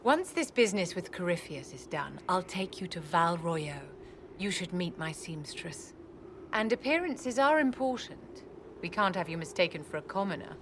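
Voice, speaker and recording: An actress voicing a haughty, elegant court enchantress in a video game, imperious, poised and measured. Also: female voice, fairly low pitch, lively intonation, background noise. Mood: gracious condescension.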